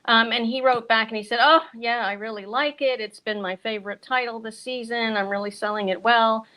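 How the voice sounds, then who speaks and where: A woman's voice: a little high, fairly even intonation, fairly quick, bright, forceful tone, low-quality recording. Chatty middle-aged American woman. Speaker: bookseller, author and guest. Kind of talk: casual chat on a virtual event.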